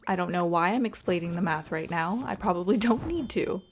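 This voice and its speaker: A woman's voice: on the low side, a little fast, faint music behind. A woman giving casual, chatty gameplay commentary in an informal way. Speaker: gaming video host.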